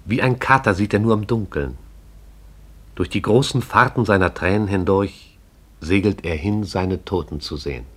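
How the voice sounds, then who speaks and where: A man narrating a radio play in a measured, poetic manner. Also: low voice, fairly bright tone, breathy edge.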